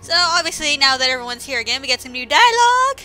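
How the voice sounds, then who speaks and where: Female game commentator, high and piercingly bright, sarcastic and informal, casual delivery over game music. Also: sing-song, fast. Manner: sarcastic, exclamatory; informal, swearing. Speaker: gameplay commentator.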